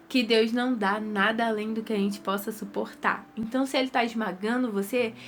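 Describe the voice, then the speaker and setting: Earnest Brazilian woman vlogging, slightly high voice. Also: slight background noise. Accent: Brazilian Portuguese. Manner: earnest, repetitive, conversational. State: stuffy with rhinitis. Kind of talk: casual devotional talk to camera.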